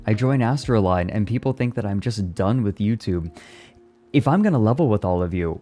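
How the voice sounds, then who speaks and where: A man's voice: lowish voice, mellow. A young man chatting casually on a live stream, reading and answering his chat and laughing often. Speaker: VTuber streamer.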